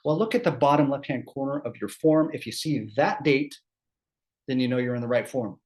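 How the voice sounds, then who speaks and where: A man presents employment law at a seminar, his throat scratchy from a cold. He keeps it conversational, with quick asides and frequent "right?" check-ins to the room. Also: medium pitch, a little mellow.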